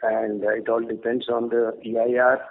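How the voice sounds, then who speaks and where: A man giving measured, brief business answers as a company executive. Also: medium pitch, flat delivery. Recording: narrow phone line, faint noise.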